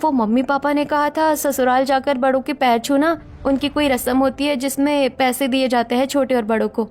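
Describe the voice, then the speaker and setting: A woman voicing an anxious, dutiful young bride in an earnest, expressive family drama story. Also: high-pitched, moderate pace, soft background music, lightly acted. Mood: worried.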